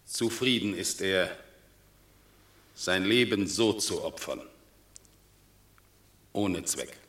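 A stately Roman commander with a bright, forceful voice. He declaims slowly and solemnly, pausing for weight, as in classic radio theatre. The room rings with echo. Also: low-pitched. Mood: grave admiration. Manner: solemn, declamatory, emphatic pauses. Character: stately Roman general delivering a solemn battle eulogy. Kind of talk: classic German radio play, declamatory stage acting. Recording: echoey radio drama studio.

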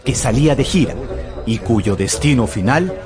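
A man's voice: medium pitch, lively intonation, moderate pace. A man narrating a dramatized story for radio in a theatrical storytelling manner. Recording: music behind the voice.

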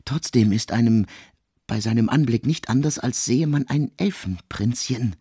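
A man playing a cultured, intellectual composer uncle in a radio drama, speaking in a literary, measured way. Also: mid-range voice, dark tone, breathy edge, dry recording, studio quality. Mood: rapt, admiring.

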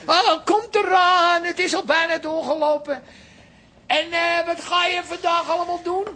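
A middle-aged dad in a radio play. His voice is bright and forward, and he coaxes and nags like a fussy, sentimental parent doting on a grown-up daughter. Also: very high-pitched, fairly even intonation, measured pace, heavily acted. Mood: eager coaxing. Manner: coaxing, nagging, sentimental. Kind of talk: radio drama acting.

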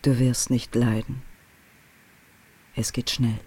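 A woman's voice: rumbling low, little pitch movement, slow pace, mellow, breathy edge, no echo, heavily acted. An actress in a German radio drama playing an ancient, gaunt woman who is Death, coaxing and commanding. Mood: coldly coaxing.

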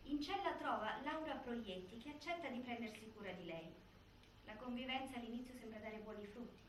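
A woman's voice: fairly high pitch, fairly quick. A woman narrating a scripted documentary theatre piece in a very echoey hall. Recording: very echoey hall, distant, faint noise.

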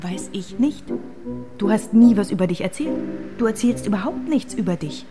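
Wry, sceptical woman played by an actress, mid-pitched. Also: lively intonation, normal speed, over music, natural voice. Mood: wry, accusing. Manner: sardonic, direct. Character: wry, sceptical, teasing female librarian. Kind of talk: radio drama dialogue.

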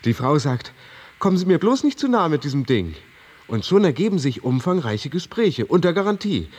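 A man's voice: fairly high pitch, big pitch swings, normal speed, a little mellow. An actor playing a condemned prisoner in a German radio drama, a politely scheming, boastful womanizer who talks in formal, elaborate phrases like an earnest salesman. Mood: earnest, boastful, persuasive.

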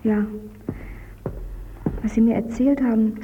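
A woman's voice: a little high, steady tone, slow pace. A young woman playing a hesitant, earnest daughter figure in a radio drama, with muffled, noisy sound. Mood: shy earnest. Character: hesitant, earnest young woman shyly confessing her feelings.

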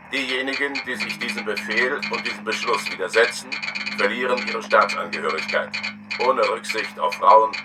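An actor playing a cold, implacable official who reads out a government dispatch sternly and deliberately in a radio play. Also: male voice, fairly low pitch, natural voice, low-quality recording. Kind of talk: declamatory document reading in radio play. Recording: loud music bed over the voice.

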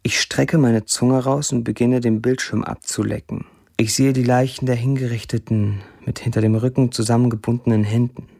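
Deadpan, sardonic young man. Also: mid-range voice, vocal fry. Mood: somber, detached. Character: young Syrian man, sardonic, disillusioned social media diarist. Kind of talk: literary monologue in a radio drama.

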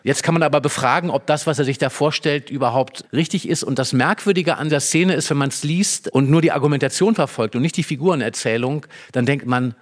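A man's voice: fairly high pitch, brisk, few pauses. A man discussing a play in an emphatic, explanatory, analytical way. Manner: explanatory, emphatic, acts out short quotes. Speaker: literary discussion speaker.

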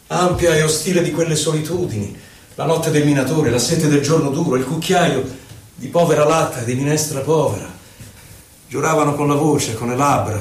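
Actor as declamatory exiled poet, distant and echoey. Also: male voice, on the high side, lightly acted. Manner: declamatory, deliberate, dramatic pauses. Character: exiled Chilean poet-senator recalling persecution, indignant, solemn. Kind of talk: theatrical poetry recitation for radio. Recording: roomy echo, distant from microphone.

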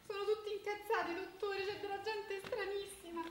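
Flustered apologetic helper, very high frightened voice, radio drama, distant and echoey. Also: female voice, steady tone, a little fast. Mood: distressed, apologetic. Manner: flustered, repeats herself. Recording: echoey, noisy, far from the mic.

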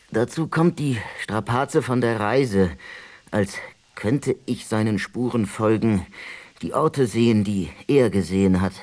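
An actor playing an ailing, brooding archaeologist in a radio play, speaking in a halting, weary, reflective way. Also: male voice, on the low side, a little mellow. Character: ailing male archaeologist brooding on death over his translation. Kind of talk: introspective radio play monologue.

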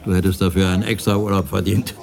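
An actor playing a flippant, beer-drinking freelance radio writer who talks in a breezy, wisecracking way in a radio play. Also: male voice, low-pitched, level tone, fast, creaky, darkish tone. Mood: flippant, bantering. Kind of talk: radio drama acting.

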